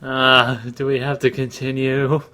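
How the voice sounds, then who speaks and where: A man's voice: medium pitch, completely flat delivery, measured pace, bright, forceful tone. A man co-hosting with casual, humorous commentary, dropping in wry, jokey interjections. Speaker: co-host commenting on the reading.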